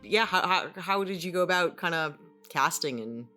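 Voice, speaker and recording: Low-voiced woman chatting on a podcast. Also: lively intonation, moderate pace, bright, forceful tone, soft background music. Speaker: voice actress and podcast co-host. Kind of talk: casual behind-the-scenes podcast chat.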